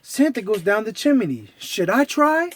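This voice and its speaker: A man's voice: high voice, sing-song, measured pace, a bit bright, voice pushed up, heavily acted. A man voicing an eager, innocent cartoon mouse in a children's storybook, wide-eyed and polite. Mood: unsure.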